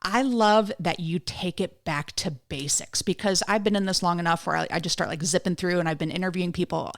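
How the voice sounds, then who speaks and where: Lowish gravelly-voiced woman host. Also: fairly quick. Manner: teasing, chatty. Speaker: podcast host. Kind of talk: scripted intro then casual interview chat.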